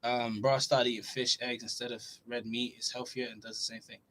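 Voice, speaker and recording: A man's voice: medium pitch, a little fast, slight background noise. Young man casually answering live chat. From Norway. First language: Norwegian. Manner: relaxed, chatty, reads questions aloud then answers. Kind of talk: casual livestream Q&A.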